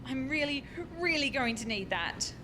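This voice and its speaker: An actress performs a harried young woman scientist in a radio comedy. Her high voice swoops about, flustered and dryly exasperated, over a clearly noisy, rumbling home recording. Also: expressive, moderate pace. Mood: flustered bluffing. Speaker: radio play actor. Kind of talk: comic radio play acting.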